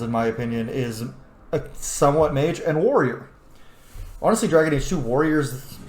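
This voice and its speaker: A man's voice: medium pitch, a little mellow, echoey room. A man hosting a gaming video, giving casual, opinionated and emphatic commentary on his gameplay.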